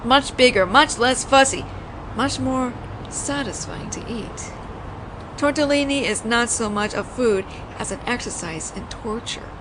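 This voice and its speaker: A woman's voice: fairly high pitch, measured pace, lightly acted. A woman playing a flirtatious, teasing mafia boss in an audio roleplay, talking in a playful, conversational storytelling way. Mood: fond, wry. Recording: noisy outdoor city ambience, traffic.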